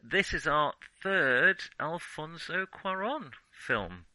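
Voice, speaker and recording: A man with a very bright, sharp-edged voice chats about films as a podcast co-host. He stops, restarts and hesitates often, with a dry room sound and a self-mocking, joking tone. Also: fairly high pitch, animated, dry recording. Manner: halting, self-interrupting, hesitant phrasing. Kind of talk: casual film discussion.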